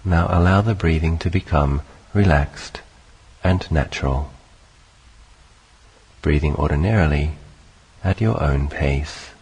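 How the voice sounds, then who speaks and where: A man leading a guided meditation, speaking calmly in short, unhurried phrases with long pauses between them. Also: rumbling low, husky, low-quality recording. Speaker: meditation teacher.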